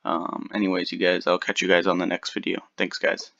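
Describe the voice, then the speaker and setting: A man hosting a casual software tutorial, chatting informally to his viewers. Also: sits low, monotone, brisk, creaky, over loud music. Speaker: tutorial video host. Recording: slightly dull, lo-fi home recording.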